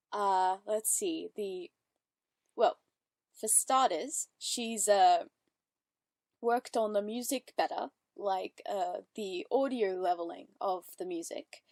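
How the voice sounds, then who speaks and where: A woman's voice: mid-range voice, a little mellow, no room sound, clean studio sound. A woman hosting casual reaction commentary, chatty and upbeat, full of fillers and self-corrections. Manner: giggly, upbeat. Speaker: reaction channel host.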